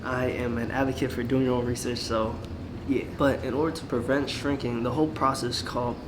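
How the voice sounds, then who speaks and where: A man's voice: medium pitch, flat delivery, fairly quick, noisy background. A young man with a cold and a fading voice, giving casual clothing-brand advice in a laid-back, rambling way. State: sick, losing his voice. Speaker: clothing brand owner and YouTuber.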